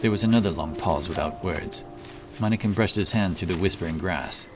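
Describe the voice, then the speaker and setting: A very low-voiced man narrates a fantasy tale. His delivery is measured and solemn, like a dramatic audiobook, with clear music playing behind him.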